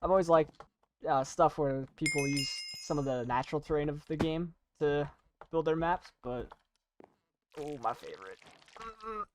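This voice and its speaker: A young adult gamer talks casually over gameplay at a medium pitch. The delivery rambles and halts, with self-deprecating asides, and there is noise behind the voice. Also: lively intonation, normal speed. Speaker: YouTuber playing and commentating on a game. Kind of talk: casual gaming commentary and chat.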